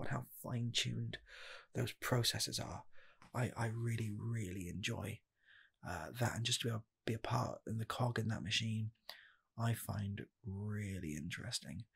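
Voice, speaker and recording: A young man playing an eager, nervous rocket scientist in a comedic ASMR job interview, speaking softly and haltingly, repeating himself. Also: fairly low pitch, exaggerated voice. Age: 20s. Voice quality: soft, husky, gravelly, slipping into whispers. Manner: halting, stammering, repeats phrases. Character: eager, nervous rocket scientist applying for fast-food work. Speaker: ASMR roleplayer.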